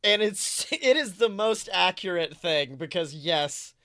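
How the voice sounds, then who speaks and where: A podcast co-host chatting casually and reading fan fiction aloud in an animated, wry way, often self-interrupting. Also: medium pitch, unhurried, dry recording, studio quality.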